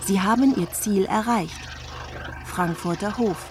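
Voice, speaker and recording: A woman voicing an impersonal car navigation system, giving measured, neutral prompts in a radio drama. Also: medium pitch, background noise, close to an everyday voice.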